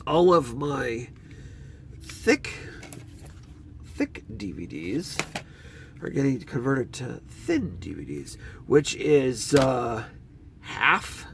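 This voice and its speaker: A man with a congested, stuffy nose rambles through his movie collection, his pitch jumping all over the place. He talks slowly, with a gravelly edge in his voice. Faint background noise sits under his voice. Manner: chatty, rambling, lively swings in pitch. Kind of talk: casual collection walkthrough.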